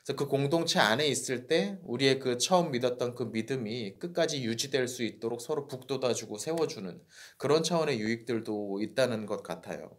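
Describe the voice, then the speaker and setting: Male co-host, hoarse from a cold, calm and reflective, medium pitch. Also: brisk. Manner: calm, thoughtful explaining. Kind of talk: reflective book discussion.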